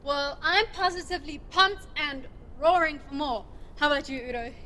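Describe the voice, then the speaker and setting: An actress playing a brash, bossy, upbeat desert rescuer in an audio drama, brisk and teasing in her commands. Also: sits high, bright, forceful tone, a little hiss or hum. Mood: teasing, cocky.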